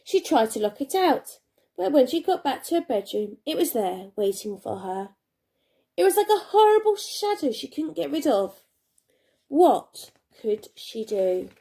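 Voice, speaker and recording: A woman reading a children's storybook aloud like a primary teacher, expressively, with dramatic pauses and emphasis. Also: high-pitched, big pitch swings, mellow.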